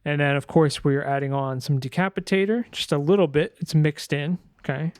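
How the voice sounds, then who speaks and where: A man presenting a sound design software tutorial in a casual, informal way, thinking aloud as he demonstrates. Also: a little high, steady tone, normal speed, noisy background.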